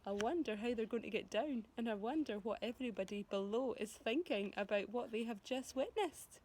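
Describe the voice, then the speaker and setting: A woman reading a storybook aloud to children as a teacher would, animated and expressive, speaking directly to them. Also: fairly high pitch, a little fast. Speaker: primary school teacher reading to pupils.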